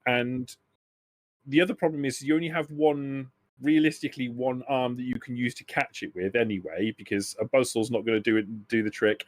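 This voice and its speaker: The man behind the screen at a tabletop game night, mid-pitched and close, feeling his way through each explanation with repeats. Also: no room sound. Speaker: game master. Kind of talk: narrating a tabletop roleplaying game.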